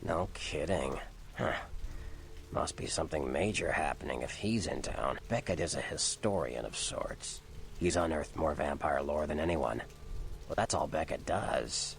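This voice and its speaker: An actor playing a gruff, streetwise vampire mentor in a video game, with a gravelly voice and a wry, colloquial way of talking. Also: male voice, very deep voice, a little slow, faint music behind, heavily acted. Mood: thoughtful. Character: gruff, streetwise male vampire mentor, shrewd and laid-back. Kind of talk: video game character dialogue.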